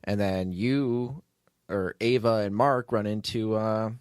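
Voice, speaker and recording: A man's voice: lowish voice, takes it slowly, a little mellow, smooth, no room sound, clean studio sound. A man hosting a casual behind-the-scenes podcast chat in a rambling, joking, self-deprecating way. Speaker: podcast host, writer and producer of an audio drama.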